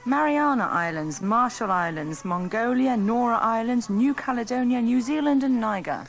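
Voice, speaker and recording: A woman speaks as a radio presenter, moving between chat and read-out items. Her voice is fairly high, with noticeable creak. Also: expressive, normal speed. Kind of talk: reading lists and chatting on air.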